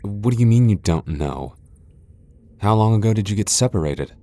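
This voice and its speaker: A man's voice: fairly low pitch, expressive, normal speed, mellow, close to an everyday voice. A man playing a proud, sardonic vampire lord in a fantasy ASMR roleplay, with a dry, sighing delivery. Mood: dry, disdainful. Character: proud, sardonic male vampire lord, cornered yet defiant. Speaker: voice actor in a roleplay audio.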